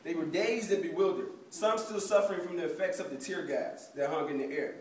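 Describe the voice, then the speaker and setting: A formal reporter gives a factual account read by a young man on stage. His voice is high for a man and comes from a distance in an echoey room. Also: a little fast, a little mellow, close to an everyday voice. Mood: grave reporting. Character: formal reporter recounting a battered march. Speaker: student narrator. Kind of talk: formal factual report within dramatic reading.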